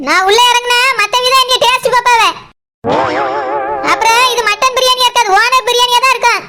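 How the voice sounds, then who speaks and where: A woman's voice: extremely high pitch, fairly even intonation, quick pace, music in the background. A woman voicing a bossy, sarcastic, teasing cartoon boss who scolds in colloquial Kanyakumari Tamil. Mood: brisk, teasing. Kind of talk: comic cartoon dialogue.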